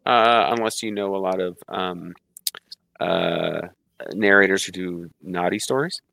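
A man being interviewed as an author on a podcast, hesitant and filling pauses with ums and uhs while laughing often. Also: deep voice, gravelly, bright, forceful tone, no echo, studio quality. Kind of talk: casual podcast chat.

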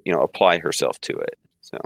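A man being interviewed as an author on a podcast, hesitant and filling pauses with ums and uhs while laughing often. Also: very deep voice, expressive, gravelly, no room sound. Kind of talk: casual podcast chat.

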